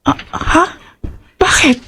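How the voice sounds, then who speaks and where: An actress playing an anxious, pleading middle-aged village mother in emotional radio drama. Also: takes it slowly. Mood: worried. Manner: earnest, pleading. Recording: loud music behind voice.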